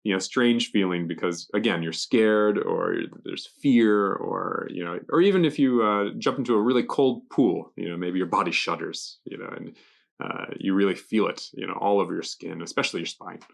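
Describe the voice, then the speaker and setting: A man casually explaining English vocabulary off the cuff, pausing to think and offering loose examples. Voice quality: gravelly, low. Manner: halting, thinking aloud with examples. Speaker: guest explaining English words. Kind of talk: casual unscripted word explanations.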